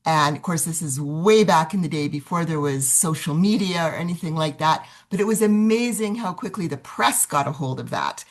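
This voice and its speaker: Older woman with a very low voice. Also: animated, normal speed, hardly any pauses. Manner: reflective storytelling. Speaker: nonprofit executive director, webinar participant. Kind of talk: recounting experience on a video call. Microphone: video call.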